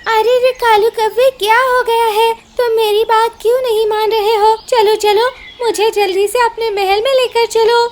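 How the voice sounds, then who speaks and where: A woman doing a very high, bossy cartoon bird. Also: flat delivery, normal speed, few pauses, slight background noise. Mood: bossy, demanding. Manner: commanding, exclamatory. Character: bossy, imperious female bird who thinks she rules. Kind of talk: Hindi cartoon voice acting.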